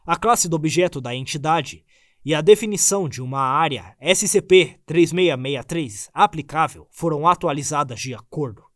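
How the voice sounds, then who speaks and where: Guy reading a creepy file out loud, measured, slightly high voice, dry close mic. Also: animated, no room sound. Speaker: narrator. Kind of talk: scripted narration of a report.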